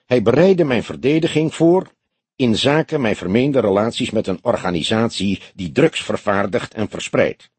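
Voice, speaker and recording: A man's voice: mid-range voice, lively intonation, moderate pace, a little mellow, husky, no room sound. A man voicing a stout, wealthy crime suspect in his late forties in an audiobook, polite and self-assured. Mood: indignant, composed. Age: 40s.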